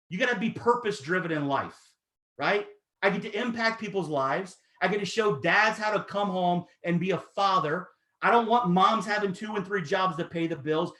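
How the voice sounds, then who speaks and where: A man in his thirties giving an energetic, persuasive sales pitch, talking straight to his audience. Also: normal speed, reverberant. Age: 30s. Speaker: network marketing presenter.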